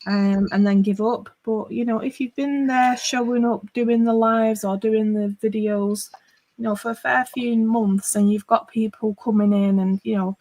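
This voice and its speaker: A woman's voice: a little high, steady tone, normal speed, darkish tone, rough recording. A middle-aged woman with a northwest English accent, chatting casually on a livestream and rambling with lots of fillers. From northwest England. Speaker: livestream host. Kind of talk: casual chat.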